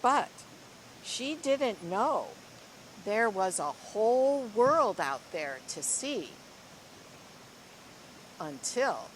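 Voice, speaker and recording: Slow-paced woman reading to children. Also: mid-range voice, sing-song, a little hiss or hum, rough recording. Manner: slow, deliberate, dramatic phrasing addressed to young children. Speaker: school librarian reading story time. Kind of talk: expressive children's picture-book read-aloud.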